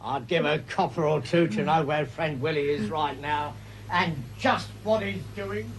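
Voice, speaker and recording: Man acting high-voiced, chummy radio-serial sidekick. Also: measured pace, lightly acted. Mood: chummy, bossy. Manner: colloquial, chummy. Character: chirpy, plain-spoken male sidekick. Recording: clear background hiss and noise.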